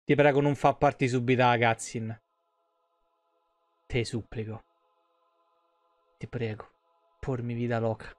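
A man's voice: mid-range voice, steady tone, slow, long pauses, no echo, faint music behind. A man, giving casual, colloquial, joking live game commentary. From Italy. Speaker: game streamer. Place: small indoor streaming room.

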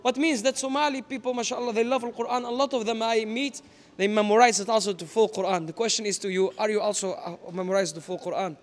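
A man's voice: sits high, fairly even intonation, fast. A man in his thirties hosting an on-camera street interview in a shopping mall, mixing in religious phrases. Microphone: small handheld wireless mic.

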